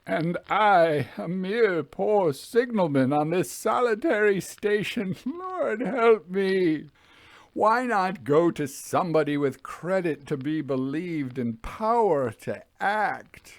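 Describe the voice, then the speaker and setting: A man's voice: high-pitched, animated, slow pace, no room sound, voice pushed up, heavily acted. A man voicing a tormented, poor railway signalman, pleading in anguished despair in a dramatic ghost story reading. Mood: desperate. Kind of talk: dramatic audiobook acting.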